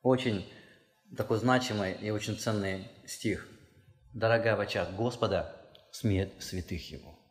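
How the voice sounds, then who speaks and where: A man preaching a sermon as pastor, speaking earnestly and pressing his points with rising emphasis. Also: measured pace. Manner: earnest, emphatic, rises in pitch and force when stressing a point. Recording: long hall reverb.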